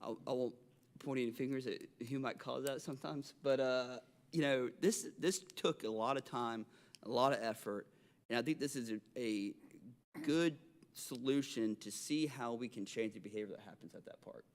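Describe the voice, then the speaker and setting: A man with a Southern accent from Alabama, speaking at a city council meeting in a halting way, with restarts and fillers. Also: mid-range voice. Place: council chamber.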